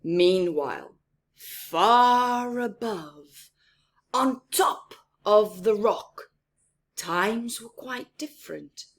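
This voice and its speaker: A woman reads to young children at a very unhurried pace. Her voice is husky and breathy, and she stresses words deliberately. She chats to her little listeners with simple explanations between the lines of the picture book. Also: mid-range voice, expressive. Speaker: storytime reader and teacher. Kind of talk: children's picture-book read-aloud with chatty asides.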